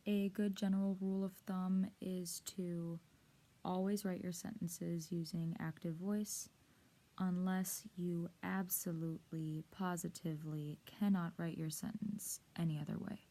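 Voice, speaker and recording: Female lesson presenter, soft mellow tone, slow measured delivery, clear instructional read. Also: fairly low pitch, flat delivery. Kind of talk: scripted instructional narration.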